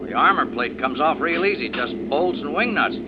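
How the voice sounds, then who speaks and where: A man's voice: a little high, lively intonation, normal speed, natural voice. An actor playing an eager, obedient bomber crewman in old-time radio drama style. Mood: businesslike. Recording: dull vintage radio, engine noise.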